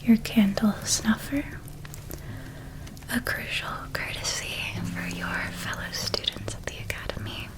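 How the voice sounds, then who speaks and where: A woman voicing a gentle, doting witch parent in a soft, breathy whispered ASMR roleplay, tender and softly enunciated. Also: fairly high pitch, wildly swooping pitch, measured pace, low-quality recording. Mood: gently admiring. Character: gentle doting witch parent helping a child pack for school.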